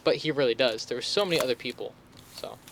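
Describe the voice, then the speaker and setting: A young man co-hosting a casual podcast chat, relaxed and hedging, swearing now and then. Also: mid-range voice, fast talker, noisy background. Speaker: podcast co-host.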